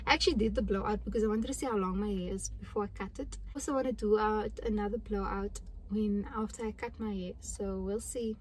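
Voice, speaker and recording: A woman's voice: medium pitch, fairly even intonation, fairly quick, hardly any pauses, slight background noise, low-quality recording. Young woman chatting to her phone in a parked car, rambly, gesturing a lot. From South Africa. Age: young adult. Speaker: vlogger. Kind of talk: casual chat to camera. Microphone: phone camera at arm's length.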